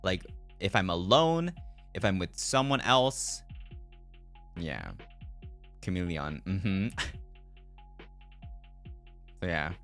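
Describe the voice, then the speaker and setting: A man's voice: deep voice, sing-song, slow, long pauses, fairly bright tone. A man who streams as a virtual character, chatting casually to live viewers in a rambling, reflective way and often repeating his own phrases. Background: background music.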